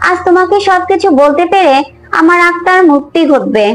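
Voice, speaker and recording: A very high-pitched ghostly young wife played by a woman speaks from a rose bush, gentle and earnest in her sorrow. It is cartoon fairy-tale acting with music playing under the voice. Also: expressive, brisk. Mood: tender, forgiving.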